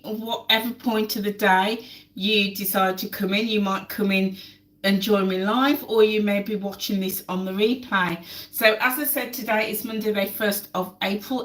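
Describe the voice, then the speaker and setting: A woman's voice: mid-range voice, a bit bright. A woman hosting a casual live stream while cooking at home, chatty and repeating words for emphasis, still getting over a cold. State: recovering from a cold, coughing. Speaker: lifestyle channel host. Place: home kitchen. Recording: echoey kitchen, mic at a distance.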